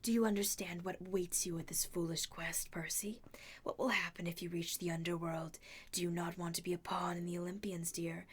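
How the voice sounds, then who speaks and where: A woman voicing Medusa, a menacing old monster woman with a breathy, crooning, coaxing voice in a storybook reading. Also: lowish voice, little pitch movement, fairly quick. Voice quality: breathy, whispery. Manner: soothing, crooning, purring, snarls when crossed. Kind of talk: audiobook character voice.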